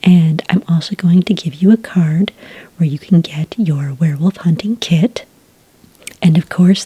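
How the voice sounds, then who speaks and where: A woman speaks in a soft, breathy whisper, playing a friendly clerk in an ASMR roleplay. Her voice is low and mellow, recorded very dry. She explains each step in a gentle, unhurried customer-service manner. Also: no echo. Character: friendly female registration clerk for werewolf hunters. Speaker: ASMR roleplayer as a licensing associate.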